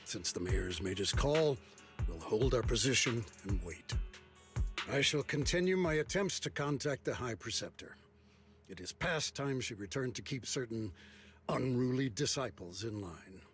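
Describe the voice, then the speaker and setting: An actor playing a stern, dryly disapproving disciple who speaks in a formal, measured way in a game. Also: male voice, mid-range voice, lively intonation, soft background music, lightly acted.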